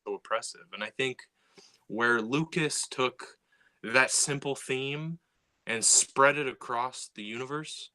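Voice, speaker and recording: A man co-hosting a podcast, talking in a casual, animated way and often restarting and repeating words. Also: mid-range voice, slow pace, poor audio. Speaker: podcast co-host.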